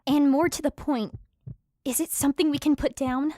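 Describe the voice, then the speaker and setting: Woman as female adventurer, high pitch, bold, protective, sarcastic, challenging, fantasy audio drama, dry recording. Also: fairly even intonation, normal speed, warm tone, dry recording, lightly acted. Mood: wary, pointed.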